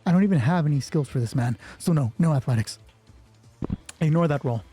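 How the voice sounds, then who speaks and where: A man's voice: on the high side, lively intonation, brisk, mellow, soft background music. A man narrating an improvised solo tabletop game, thinking aloud casually and swearing freely. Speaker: solo roleplay player and narrator.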